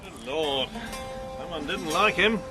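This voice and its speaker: Brisk, kindly army captain, crisp orders, high lively voice. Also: male voice. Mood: commanding. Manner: crisp officer's commands. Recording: radio drama with music.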